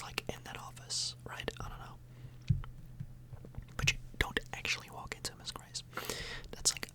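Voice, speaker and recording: Whispering woman, casual ASMR ramble. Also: poor audio. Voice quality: breathy unvoiced whisper. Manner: casual, meandering, frequent tag questions. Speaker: ASMR video creator.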